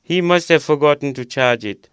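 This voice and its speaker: Calm dad man acting in radio drama, smooth slightly high voice, reassuring, no echo. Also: normal speed, clean studio sound, lightly acted. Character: calm, reassuring father of a student.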